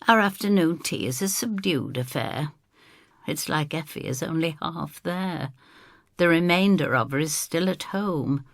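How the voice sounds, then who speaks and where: A motherly landlady, played by a woman, narrates an audio story. Her voice is low, creaky and very expressive in pitch. She is homely, wry and confiding in how she tells it. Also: no echo, studio quality, full character voice. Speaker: first-person narrator. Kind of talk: dramatised scripted storytelling.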